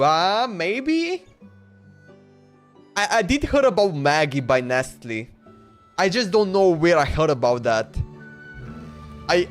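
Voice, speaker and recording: A man live-streaming a game with casual, rambling, repetitive reaction commentary. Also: a little high. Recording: game music behind the voice.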